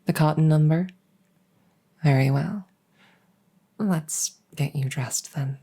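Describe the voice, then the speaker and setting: A woman playing a devoted vampire maid in an ASMR roleplay, speaking softly and formally with soothing deference and gentle teasing. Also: low-pitched, exaggerated voice. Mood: gently insistent. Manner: soft, breathy, deferential, unhurried formal phrasing. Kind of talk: ASMR roleplay, intimate personal-attention audio.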